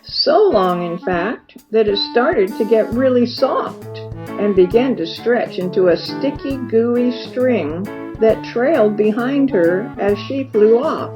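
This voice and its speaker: A woman reading a children's story aloud as an expressive narrator, stretching words for emphasis. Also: on the high side, slow. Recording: dull lo-fi recording with music behind. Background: music.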